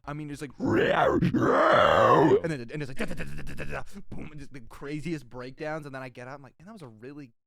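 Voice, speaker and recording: A young man co-hosting a podcast, excitable and full of slang. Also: a little high, brisk, gravelly. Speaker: podcast co-host. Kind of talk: casual chat.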